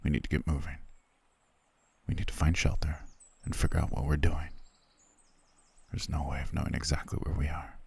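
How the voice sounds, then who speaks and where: A man playing a cold, haughty soldier prince in a fantasy audio roleplay, curt and disdainful, with a gruff, breathy voice. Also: monotone, moderate pace, pauses often, darkish tone, dry recording, exaggerated voice. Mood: weary, urgent. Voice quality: very deep, gravelly, breathy. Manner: curt, disdainful, dry, low-key grumbling. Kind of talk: ASMR roleplay acting.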